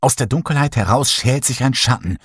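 A man narrating a horror story in the first person, dramatic and lively. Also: mid-range voice, a little fast, husky, dry recording, clean studio sound. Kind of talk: horror audiobook reading.

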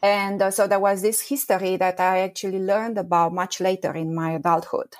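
A woman's voice: medium pitch, flat delivery, a little fast. A woman in her forties from Romania, a history professor answering interview questions thoughtfully, with many ums and uhs. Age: 40s.

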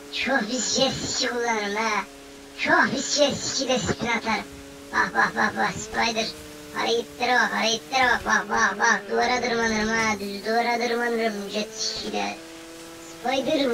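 A playful, slangy gamer giving running commentary on a video game, boasting and repeating phrases excitedly. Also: mid-range voice. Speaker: gameplay video narrator. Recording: noisy, distant-sounding game commentary recording.

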